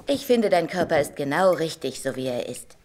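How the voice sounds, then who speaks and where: A woman playing a gentle, doting, reassuring mother of a schoolboy in a German audio drama. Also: deep voice, very animated, normal speed. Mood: tender.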